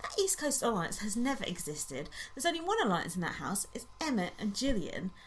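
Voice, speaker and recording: A woman's voice: mid-range voice, sing-song, a little fast, a little hiss or hum, low-quality recording. A woman co-hosting a podcast, chatting casually and mockingly about a reality show and laughing often. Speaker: podcast co-host.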